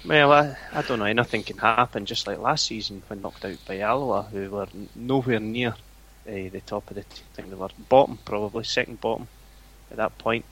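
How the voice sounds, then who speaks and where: A Scottish man talks football on a podcast in a flat, even way, and he calls his own voice boring. His voice sits a little low and sounds bright and forceful. A faint noise hums under the recording. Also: fairly quick, low-quality recording. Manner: flat, self-described boring voice. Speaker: forum owner, guest. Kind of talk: casual chat.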